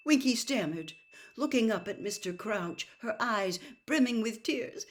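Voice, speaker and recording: A woman reading a storybook aloud as an expressive narrator of scripted fiction. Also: fairly high pitch, unhurried, heavy background noise. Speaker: audiobook narrator.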